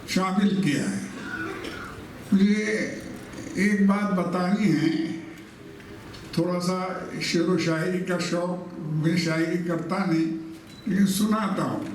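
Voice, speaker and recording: A man in his eighties, hoarse today, giving a slow, reflective stage speech as the founder of a charitable trust. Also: high voice, slight background noise. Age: 80s. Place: large event hall. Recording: echoey hall, far from the mic.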